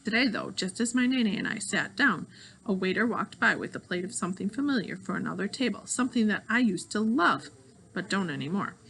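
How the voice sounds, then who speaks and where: A woman reading a children's novel aloud in an expressive storytelling style. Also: medium pitch, brisk, creaky, slight background noise, rough recording. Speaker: audiobook reader of a children's novel.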